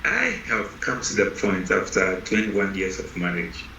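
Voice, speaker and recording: Guy on a panel, low voice, slow and calm, roomy hall sound. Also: gravelly, muffled recording, slight background noise. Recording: roomy hall from a distant mic.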